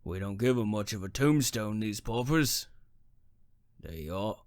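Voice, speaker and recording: A man's voice: on the low side, expressive, unhurried, a little mellow, dry recording, studio quality. A man playing a plain-spoken old working-class cemetery worker in a radio drama, wry and colloquial. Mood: matter-of-fact.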